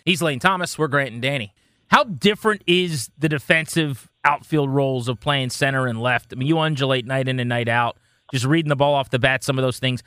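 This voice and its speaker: Male sports radio co-host, rapid and forceful, casual interviewing, dry close mic. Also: mid-range voice, smooth, no room sound.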